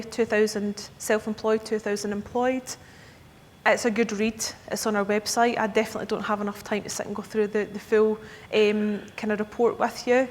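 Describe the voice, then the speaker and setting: A Scottish woman in her thirties giving a conference presentation to advisers, chatty, often checking in with the audience. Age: 30s. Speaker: key account manager presenting to advisers. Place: conference hall. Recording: echoey hall with faint rumble.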